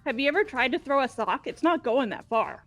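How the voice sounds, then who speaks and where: A woman's voice: sits high, expressive, fairly quick, fairly bright tone. A woman chatting casually as a player in a group game playthrough. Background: faint music.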